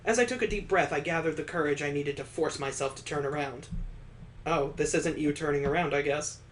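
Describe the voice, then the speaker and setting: Higher-voiced man reading a game aloud. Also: a little fast, a little hiss or hum. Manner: wry, joking asides between read passages. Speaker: gaming host reading a visual novel aloud. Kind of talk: let's play read-aloud with ad-libbed commentary.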